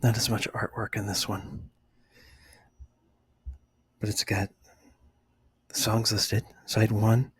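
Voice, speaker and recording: A middle-aged man chatting casually for ASMR in a hushed, breathy, whispery voice with an unhurried manner. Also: lowish voice, flat delivery, no room sound. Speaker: ASMR video host. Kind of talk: ASMR, casual unscripted chat.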